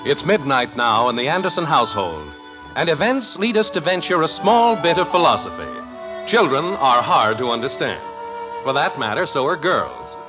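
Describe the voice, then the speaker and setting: A man's voice: a little high, very animated, normal speed. A man with an American accent giving polished, genial scripted narration as a 1950s radio show announcer. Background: loud music.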